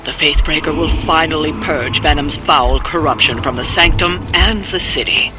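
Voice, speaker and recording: A man's voice: a little high, normal speed, music in the background, close to an everyday voice, poor audio. An actor playing a stern, fanatical male villain in a video game, with a grave, declamatory delivery. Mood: gloating.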